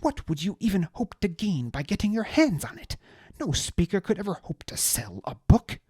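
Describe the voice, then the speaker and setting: Narrator putting on a pompous fussy man, shrill and indignant, leaping high and low, breathy, dry close sound, storybook. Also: big pitch swings, normal speed, darkish tone, no room sound. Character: pompous, petulant male scribe-like noble, easily outraged.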